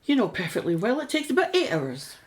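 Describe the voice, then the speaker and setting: An actress playing a sarcastic, exasperated middle-aged British wife, practical and sceptical, in a radio play. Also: female voice, fairly high pitch, wildly swooping pitch, fairly quick. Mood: fed up.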